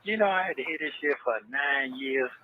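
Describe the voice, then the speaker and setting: Guy on a noisy jail phone call, talking quick, defensive, keeps repeating himself. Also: medium pitch. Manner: defensive, repetitive. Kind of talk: heated phone conversation. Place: on a phone line, played back.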